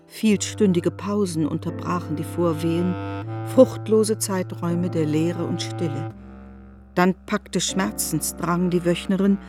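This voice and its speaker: A woman narrating a literary radio drama as a knowing storyteller, in a measured, articulate manner. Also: fairly low pitch.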